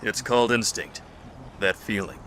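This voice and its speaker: A man acting in an audio drama as a calm, probing survivor who speaks in a measured, philosophical way. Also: lowish voice, a little hiss or hum, close to an everyday voice.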